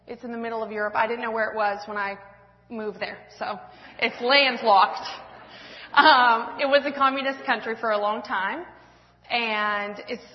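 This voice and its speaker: Woman in her 30s, church testimony speaker, rambling and self-mocking, muffled lo-fi hall sound. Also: on the high side. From Washington State, US West Coast. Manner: self-deprecating, laughing often, rambling with fillers. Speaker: speaker at a church women's event. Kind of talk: personal testimony talk with slides.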